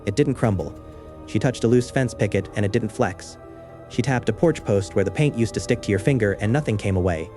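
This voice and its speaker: Bright-voiced man narrating an eerie story. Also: on the low side, brisk, soft background music. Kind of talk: scripted first-person horror story narration.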